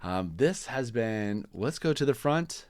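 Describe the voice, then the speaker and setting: A man reading a children's storybook aloud in animated narration with casual asides, hosting as he goes. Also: mid-range voice, unhurried, no echo. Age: adult parent. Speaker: storybook reader and channel host.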